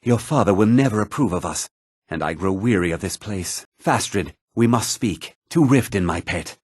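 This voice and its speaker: A smitten fantasy-game suitor, romantic and theatrical. His voice sits fairly low, a bit husky, and moves with lively expression. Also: moderate pace, no room sound, studio quality. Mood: ardent, urgent. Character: smitten male suitor, ardent and scheming to elope. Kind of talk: video game character dialogue.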